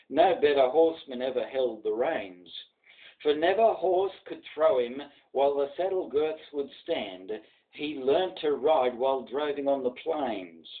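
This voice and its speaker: A man's voice: medium pitch, narrow, tinny audio. A man with an Australian accent giving a dramatic recitation of poetry. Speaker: poetry reader.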